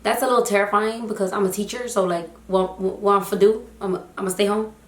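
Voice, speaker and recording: Young woman vlogger, slightly hoarse, chatty storyteller, mid pitch, echoey room. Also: a little fast. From United States, Haitian family. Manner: chatty, animated, lots of fillers. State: slightly hoarse, losing her voice. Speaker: vlogger, teacher. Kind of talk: casual storytime vlog.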